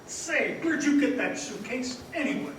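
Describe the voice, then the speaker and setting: A man acting a boyish, inquisitive young fellow pipes up in a high voice. It is a stage radio play, recorded from far off with heavy echo. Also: expressive, normal speed, a bit bright. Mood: breezy. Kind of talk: old-time radio drama acting on stage. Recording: very echoey, distant stage recording, faint noise.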